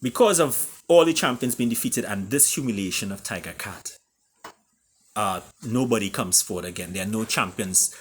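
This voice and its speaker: A man with a Caribbean accent reading his own story aloud in a theatrical way, with deliberate pauses. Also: fairly low pitch, expressive, slight background noise. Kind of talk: dramatic storytelling.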